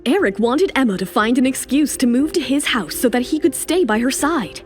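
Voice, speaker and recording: A woman narrates a romance audio drama in a fairly high voice. Her delivery is scripted and dramatic, with music playing underneath. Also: expressive, a little fast.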